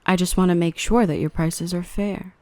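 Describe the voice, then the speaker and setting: A woman playing a dominant yet protective dark elf mistress in an ASMR roleplay, by turns cutting and tender. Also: on the low side, fairly even intonation, moderate pace, no echo, natural voice. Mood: cool, suspicious. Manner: stern and cutting to traders, tender and coaxing to the human. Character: dominant female dark elf, protective, gentle with her rescued human.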